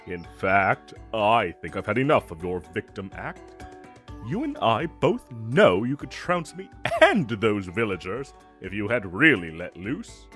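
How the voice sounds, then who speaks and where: A man's voice: fairly low pitch, big pitch swings, measured pace, a bit bright, soft background music. A man playing a gallant, theatrical knight with a playful streak and formal, archaic phrasing in a fantasy audio roleplay. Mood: teasing.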